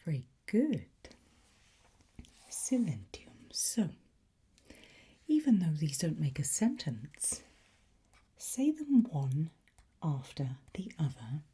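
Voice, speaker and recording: A woman whispering breathily as a teacher in an ASMR roleplay, gentle, unhurried and encouraging. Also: low voice, very animated, slightly rough. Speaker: ASMR host playing a language teacher.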